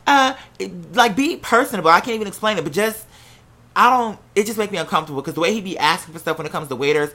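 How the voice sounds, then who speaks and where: A casual storyteller with an American accent and a bright, forceful voice at a middling pitch. The delivery is candid and animated, salted with swearing, like someone recounting personal drama to listeners. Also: quick pace. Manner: acts out quoted remarks while telling.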